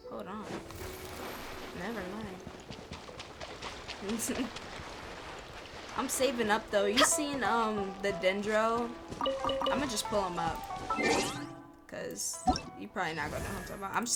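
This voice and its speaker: A woman game streamer chats in a laid-back, informal way with a middle-pitched voice. Loud music from the game plays behind her as she reacts. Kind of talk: casual chat and reactions while playing. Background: video game music and dialogue.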